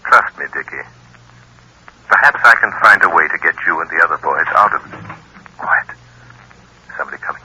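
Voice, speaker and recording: A man playing a mysterious invisible avenger, calm, measured and firm yet protective, in 1930s radio drama style. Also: low voice, breathy edge, lo-fi sound, exaggerated voice. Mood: commanding. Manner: measured, reassuring but firm.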